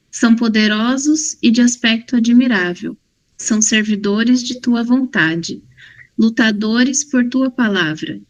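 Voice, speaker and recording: A woman recites a prayer in a steady, measured manner. Her voice sits somewhat high. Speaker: prayer reader. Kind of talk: reading a prayer aloud.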